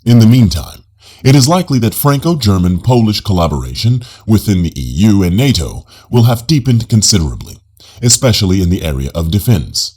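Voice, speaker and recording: A man reading a nonfiction audiobook in a formal, measured manner. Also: low voice, darkish tone, breathy edge. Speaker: audiobook narrator.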